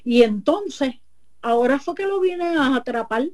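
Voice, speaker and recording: A woman's voice: high voice, animated, a little slow, voice pushed up. A woman voicing a sheepish cheating husband with a Puerto Rican accent, rambling through excuses.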